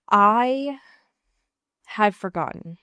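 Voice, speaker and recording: A woman's voice: mid-range voice, expressive, extremely slow, bright, forceful tone, clear, resonant tone, no echo. An American woman co-hosting a casual recap podcast, emphatic and sweary, often rambling and correcting herself as she goes. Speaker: podcast co-host. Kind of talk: casual TV and film recap chat.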